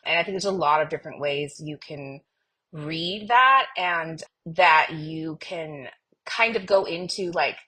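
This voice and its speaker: A woman with a low, husky voice co-hosts a podcast. She talks casually about a fiction series, slipping into little acted-out lines to illustrate her points. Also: a little slow, pushed and bright. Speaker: podcast co-host.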